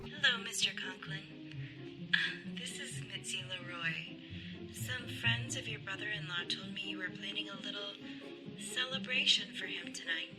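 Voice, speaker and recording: A woman's voice: medium pitch, moderate pace, loud music behind the voice, close to an everyday voice, poor audio. A woman playing a forward, worldly nightclub dancer in a radio sitcom. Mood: sly, coaxing. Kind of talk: old-time radio sitcom acting.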